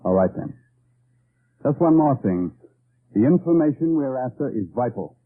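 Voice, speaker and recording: An actor playing a sober American army colonel with a grave, measured, authoritative delivery in a 1950s radio drama. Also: male voice, mid-range voice, very animated. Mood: grave, commanding. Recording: tinny vintage radio broadcast recording.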